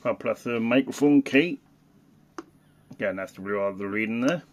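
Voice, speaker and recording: A man giving a casual, unscripted product demonstration as a hobbyist reviewer, halting and thinking aloud as he goes. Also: mid-range voice, a little hiss or hum.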